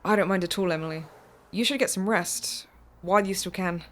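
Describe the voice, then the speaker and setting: An actress in an audio drama playing a mysterious woman driver who is calm, insistent and reassuring. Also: on the low side, fairly quick, close to an everyday voice. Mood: calm, ominous.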